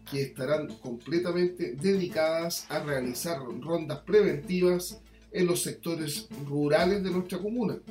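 A man's voice: fairly high pitch, a little mellow. A man with a Chilean accent presenting scripted local news. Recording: roomy echo, music bed. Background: background music.

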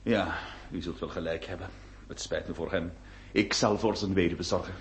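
A man acting in a radio play as an excitable, distraught nineteenth-century gentleman, stammering and melodramatic. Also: low voice, normal speed. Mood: dejected. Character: distraught, excitable 19th-century gentleman who lost his nose. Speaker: radio drama actor. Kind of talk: theatrical Dutch-language radio play acting.

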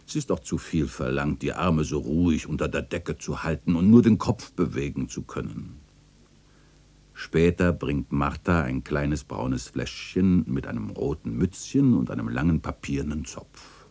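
Literary radio drama narrator: melancholy old man recalling memories, very low, mellow, lively intonation. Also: unhurried, creaky, slightly rough, full character voice. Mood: tender, playful. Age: older. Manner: wistful, expressive storytelling.

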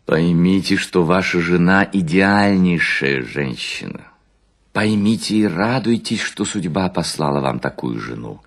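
A man's voice: sits low, lively intonation, measured pace, vocal fry, heavily acted. An actor playing a worn-out balding seducer, suavely lecturing on his sly craft in a theatrical literary monologue, savoring words and humming between them. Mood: effusive flattery.

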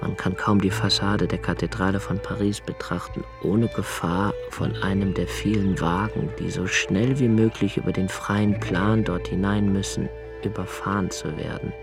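An actor playing an anxious, brooding young writer in a literary radio drama monologue, intense and deliberate in delivery. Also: male voice, low-pitched, steady tone, creaky. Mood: bitterly sardonic. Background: music.

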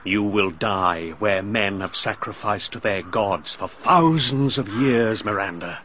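An actor playing a calm, menacing man who performs a ritual sacrifice in a radio drama, speaking in a soft, solemn, hypnotic way. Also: on the low side, measured pace, a crowd in the background, lightly acted. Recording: dull lo-fi broadcast recording.

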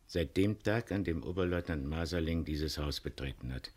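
An actor playing a stolid older farmer in a radio play, speaking plainly and haltingly with a weight of guilt. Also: male voice, a bass voice, darkish tone. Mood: grave confession. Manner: deliberate, halting, plain-spoken. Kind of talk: 1970s German radio play acting.

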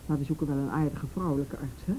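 An actress playing a calm woman in a Dutch radio play, questioning someone gently in a measured way. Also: low voice, slight background noise, close to an everyday voice, poor audio. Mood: reassuring, resolute. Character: calm, probing woman interviewer helping an abused girl.

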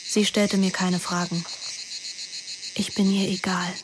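A woman's voice: lowish voice, flat delivery, unhurried, noisy background, natural voice. An actress playing a wilful, moody teenage girl who narrates her summer intimately in a radio drama. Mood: tender, confessional. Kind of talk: first-person radio drama narration and dialogue. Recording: music behind the voice.

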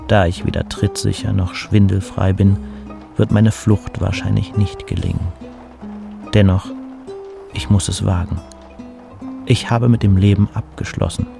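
A burnt-out city poet in an audio drama, low-voiced with a gravelly creak. He is wry and neurotic and speaks in dry, formal, wordy phrases over music. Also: steady tone, unhurried, pauses often, heavily acted. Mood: gloomy, formal. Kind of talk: audio drama dialogue and letter narration.

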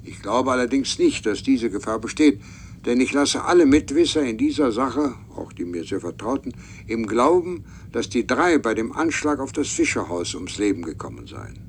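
An actor playing a solemn, formal official reading a letter deliberately in a German radio play. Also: male voice, mid-range voice, sing-song, a little hiss or hum. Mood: solemn, measured.

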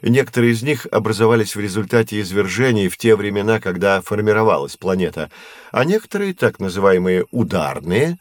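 Patient professor played by a male actor, low register, measured teaching delivery, dry close sound, educational audio drama. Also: expressive, dry recording, lightly acted. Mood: instructive. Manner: explanatory, measured, didactic. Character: male astronomy professor, patient, knowledgeable teacher of curious children.

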